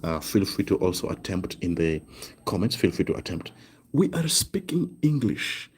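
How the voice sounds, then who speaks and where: A man teaching German online, slowly repeating each phrase word by word and checking in with "right?". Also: low-pitched, sing-song, a little mellow, husky. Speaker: German tutor. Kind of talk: online language lesson, writing on cards. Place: desk indoors.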